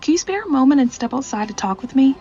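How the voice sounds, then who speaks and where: A woman's voice: sits high, animated, fast, mellow, dull audio. A woman playing an earnest, fretful department store manager in a 1940s radio acting style. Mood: uneasy.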